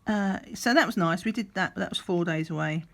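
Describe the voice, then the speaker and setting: A middle-aged woman, slightly croaky, chatting in a rambling way while doing a craft, sometimes counting under her breath. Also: lowish voice, fairly quick. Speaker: crafting vlogger. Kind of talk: relaxed chatty craft vlog. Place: at a craft table indoors.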